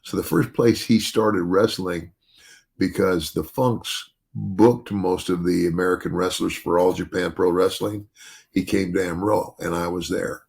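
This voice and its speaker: An older man with a deep bass voice, chatting casually as a podcast host, rambling with many restarts and "you know". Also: steady tone, measured pace, vocal fry, darkish tone. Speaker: podcast host, retired wrestler.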